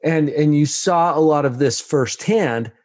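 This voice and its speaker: A man's voice: on the high side, a little slow, darkish tone, dry recording, clean studio sound. A male host asking long, framing questions in a conversational way. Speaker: interviewer, host. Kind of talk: interview questions.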